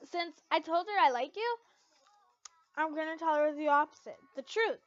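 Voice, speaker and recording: A woman's voice: high voice, expressive, normal speed, fairly bright tone, muffled recording. A woman voicing a brash, blunt teenage boy with a casual, teasing manner in an amateur story reading.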